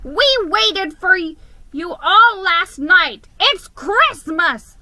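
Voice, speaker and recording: A woman voicing a bossy old grandfather mouse in a storybook, loud, emphatic and blustering. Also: very high-pitched, lively intonation, very slow, no room sound, voice pushed up, full character voice. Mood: thundering, scolding. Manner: thundering, emphatic.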